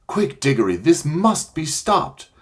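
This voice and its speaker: A man voicing a brave, practical young schoolgirl who speaks briskly and urgently, a little higher than his own voice, in a storybook reading. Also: big pitch swings, a bit bright, husky, exaggerated voice.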